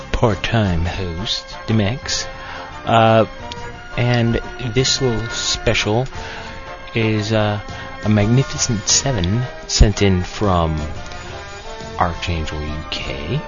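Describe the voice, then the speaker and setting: A man's voice: low-pitched, muffled recording. A man hosting a podcast part-time in casual chat, rambling with many fillers and self-corrections.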